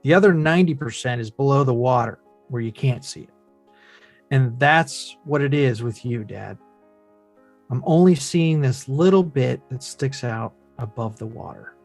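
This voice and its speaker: A man's voice: mid-range voice, expressive, moderate pace, gravelly, darkish tone, soft background music. An actor playing a frustrated grown son who confronts his father, earnest and pressing, at a film script read. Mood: bitter, hurt.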